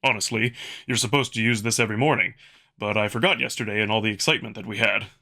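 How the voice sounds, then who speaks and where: A man's voice: on the low side, steady tone, fast talker, vocal fry, sharp tone, full character voice. A man voicing an earnest, eager male alien wolf in a visual novel, speaking emphatically with formal wording and a touch of awkwardness. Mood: eager, instructive. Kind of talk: visual novel character dub.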